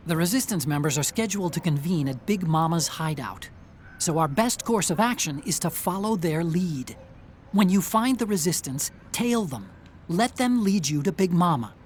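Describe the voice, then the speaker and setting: A man's voice: a little high, expressive, normal speed, close to an everyday voice. A man voices a clever, earnest male technician guiding an agent in a video game. He explains things step by step in a methodical briefing style, over faint background noise. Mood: serious, instructive.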